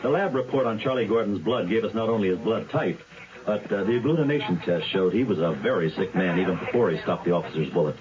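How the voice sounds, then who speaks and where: An actor playing a matter-of-fact police officer in a radio drama, explaining things in a friendly way. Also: male voice, lowish voice, expressive, fast, a little mellow, close to an everyday voice. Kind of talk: 1970s radio drama acting. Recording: dull radio drama recording.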